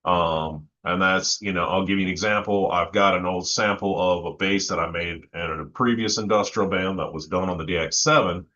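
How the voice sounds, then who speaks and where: A man talking casually and technically as a panelist, halting as he thinks aloud. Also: deep voice, steady tone, sharp tone, muffled recording. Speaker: panelist in music technology discussion.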